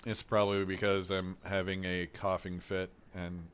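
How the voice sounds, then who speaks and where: A man's voice: deep voice, completely flat delivery, normal speed, fairly bright tone, a little hiss or hum. A young man with a head cold, chatting casually and swearing as he introduces a game playthrough. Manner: laid-back, rambling, swears casually. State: stuffy, has a head cold. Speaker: gameplay streamer. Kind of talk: casual gaming intro chat.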